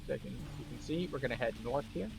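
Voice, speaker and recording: A man's voice: mid-range voice, fast, vocal fry, heavy background noise. A man giving a casual walkthrough commentary as he plays a video game. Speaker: gameplay guide and streamer.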